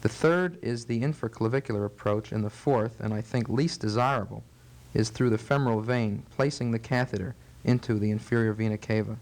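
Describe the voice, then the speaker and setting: A man's voice: on the low side, a little fast, a little mellow, poor audio. A man speaking as a physician in a teaching interview, explaining things formally, precisely and methodically. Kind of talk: measured medical teaching interview.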